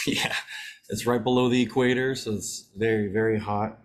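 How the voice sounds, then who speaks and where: A man in his thirties from American Samoa, a podcast guest talking casually in a calm, steady way with fillers. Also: fairly low pitch, moderate pace. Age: 30s. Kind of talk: casual chat. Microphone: desk microphone.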